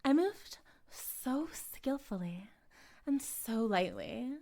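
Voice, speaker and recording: A woman playing a smug, possessive female cult leader in an intimate roleplay monologue, speaking deliberately and teasingly, often slipping toward a breathy whisper. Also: a little high, animated, dark tone. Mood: gloating, seductive.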